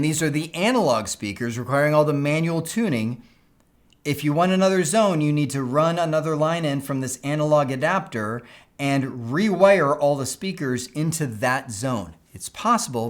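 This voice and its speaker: A man instructing in an informal, conversational technical explainer style, often checking in with the listener as he goes. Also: mid-range voice. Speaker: instructor explaining school PA systems.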